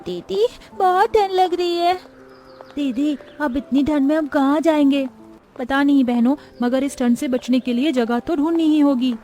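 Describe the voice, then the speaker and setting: A woman's voice: sits high, normal speed, dark tone, slight background noise, close to an everyday voice. A woman voicing poor, earnest young orphan sisters in a melodramatic moral-story cartoon. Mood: plaintive.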